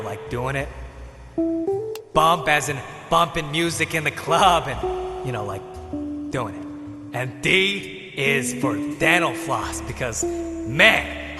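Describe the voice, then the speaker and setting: A young party-bro incubus from a video game. He is cocky and easygoing, with a slangy drawl and plenty of fry, and loud music pounds behind his reverberant voice. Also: a little high. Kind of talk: video game character acting.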